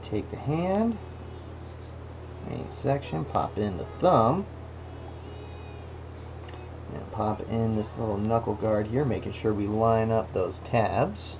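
Slow, low-voiced man building a kit. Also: vocal fry, noisy background. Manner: relaxed, talks to the parts as he works. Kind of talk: casual build tutorial. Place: indoor workbench. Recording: music bed under voice. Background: background music.

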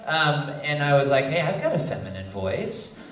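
A man's voice: fairly high pitch, big pitch swings, moderate pace, fairly bright tone, recorded from a distance. Joking man in an echoey convention hall. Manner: joking, playful. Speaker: convention panelist. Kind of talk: casual panel talk.

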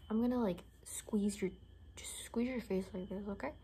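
A young woman doing an improvised ASMR roleplay in a soft, often whispered voice, rambling and repeating words in a hushed, unhurried way. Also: medium pitch, slightly rough. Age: young adult. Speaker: ASMR creator playing a caretaker. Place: small bedroom, indoors.

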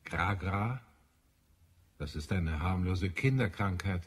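Casting: dutiful, authoritative alien official for audio drama, slightly low, raspy tone. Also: male voice, expressive, exaggerated voice. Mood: firm, sceptical. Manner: formal, measured, authoritative. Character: composed, dutiful male Martian chief administrator. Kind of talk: German audio drama acting.

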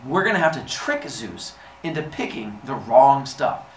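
Comic storybook titan played by a man: clever, exasperated schemer, lively conversational instruction, roomy sound. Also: mid-range voice, measured pace, far from the mic. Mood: scheming, instructing. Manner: conspiratorial, instructing, impatient. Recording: echoey room with background hiss.

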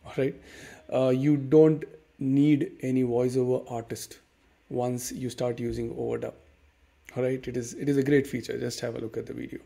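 A man in his thirties with an Indian accent, hosting a casual software tutorial at his desk and walking through each step with plenty of fillers. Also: mid-range voice, normal speed, vocal fry. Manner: relaxed, many fillers and "okay"s, explains step by step. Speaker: tutorial host. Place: dim room at home.

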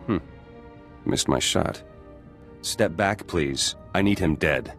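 An actor playing a hard, bitter resistance fighter in a video game, speaking in a terse, grim manner. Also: male voice, sits low, animated, takes it slowly, fairly bright tone, heavily acted. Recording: music playing behind the voice.

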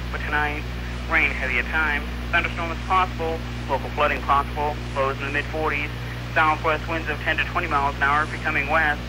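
A man with a fairly high voice reads weather bulletins over the radio. His delivery is formal and measured, following a script. The 1990s broadcast recording has clear noise and hum. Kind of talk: scripted forecast and warning reading.

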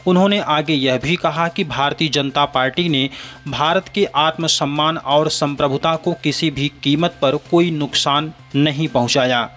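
A man presenting a scripted news bulletin in a formal, measured way. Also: on the high side, a bit bright. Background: background music.

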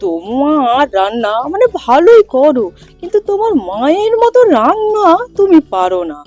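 A man's voice: extremely high pitch, sing-song, moderate pace, a little mellow, faint music behind. A man playing a gluttonous young son-in-law in a cartoon folk tale, coaxing and always chattering about food. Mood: coaxing, eager.

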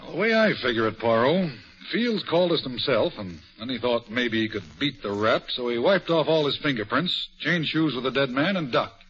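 An actor playing a confident, blustery police inspector in a 1940s radio drama. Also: male voice, medium pitch, expressive, normal speed, fairly bright tone, lightly acted. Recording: dull lo-fi vintage radio broadcast.

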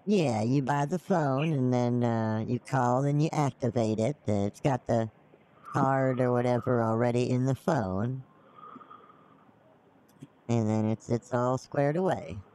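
A man's voice: medium pitch, measured pace, dark tone, poor audio. A middle-aged man on a live-streamed scam call, folksy and feigning ignorance as a naive mark. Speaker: scambaiter playing a naive mark.

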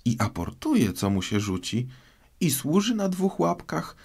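A man voicing a polite, eager little girl in a storybook, chattering earnestly and quick to apologise. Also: on the high side, big pitch swings, darkish tone, pitched above the performer's own voice, full character voice. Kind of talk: storybook character voice.

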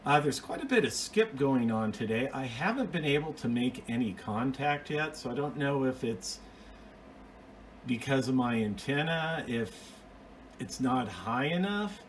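A man's voice: medium pitch, expressive, a little slow, slight background noise. A man giving an informal, hands-on explanation as a hobbyist video host, plain-spoken and methodical, recorded on a phone at home. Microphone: phone, off camera.

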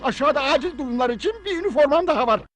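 A man's voice: very high-pitched, lively intonation, racing, fairly bright tone. A man playing a fussy, formal, easily flustered butler in a dubbed cartoon, speaking primly and exclaiming.

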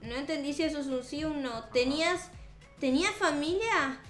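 A high-voiced young woman with a Rioplatense accent plays through a game roleplay. She talks expressively, with a sweet, childlike delivery. The room adds noticeable echo, and music plays softly behind her. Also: normal speed. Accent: Rioplatense Spanish. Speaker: gaming video host. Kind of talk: improvised in-character gameplay roleplay. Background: background music.

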